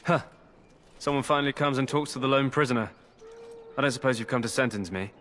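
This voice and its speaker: A man's voice: medium pitch, quick pace, fairly bright tone, natural voice. Man acting a wheedling, hungry caged prisoner. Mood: pleading, resigned. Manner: wheedling, folksy, resigned. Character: hungry, wheedling prisoner, a resigned sly army deserter. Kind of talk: fantasy game dialogue.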